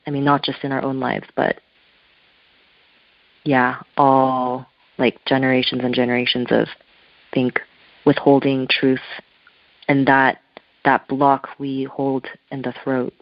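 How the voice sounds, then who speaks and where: A woman's voice: extremely low pitch, little pitch movement, slow, pauses often, dry recording. A woman whose first language is Korean, a spiritual artist being interviewed by telephone, talking in a searching, reflective way with loose phrasing. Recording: muffled telephone line.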